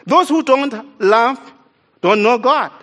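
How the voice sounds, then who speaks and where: A man's voice: very high voice, lively intonation, takes it slowly, a bit bright. A man from East Africa, whose first language is not English, preaching a sermon in an earnest, emphatic way and repeating key lines for stress.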